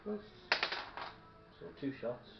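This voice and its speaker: A man's voice: slow, loud music behind the voice. A man chatting casually and explaining tabletop game rules, recorded in a room away from the microphone. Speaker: tabletop war game player. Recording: distant, muffled, echoey room with faint noise.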